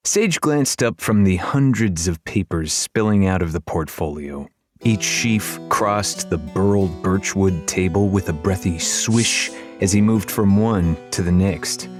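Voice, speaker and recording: A man's voice: sits low, a little slow, over music. A man reading scripted fantasy fiction as an audiobook narrator.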